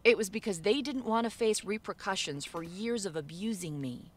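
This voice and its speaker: A woman giving measured, subdued first-person narration for an animated story video. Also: medium pitch, slight background noise.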